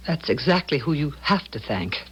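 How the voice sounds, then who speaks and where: An actress playing a plucky, devout married lady in a 1970s radio drama, husky and plain-spoken, on a dull lo-fi recording. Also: deep voice, big pitch swings, normal speed, full character voice. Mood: indignant.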